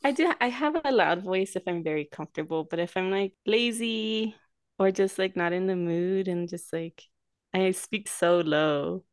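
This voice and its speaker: A woman's voice: on the low side, moderate pace, clean tone, no echo, clean studio sound. A young woman co-hosting a casual podcast, teasing her friend and laughing often. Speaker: podcast co-host. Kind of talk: casual chat between friends.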